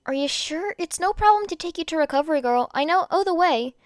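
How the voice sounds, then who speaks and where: A woman's voice: extremely high pitch, lively intonation, brisk, dry recording, studio quality, pitched above the performer's own voice. A woman voicing a kind, eager, anxious teenage boy hero in a story reading, with an earnest manner. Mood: anxious, apologetic. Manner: fretful, rambling, apologetic.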